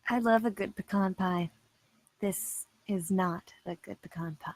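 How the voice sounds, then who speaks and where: A woman's voice: mid-range voice, unhurried, no room sound, rough recording. A woman acting a composed, skeptical police detective in a film script read, dry and matter-of-fact. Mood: composed, probing.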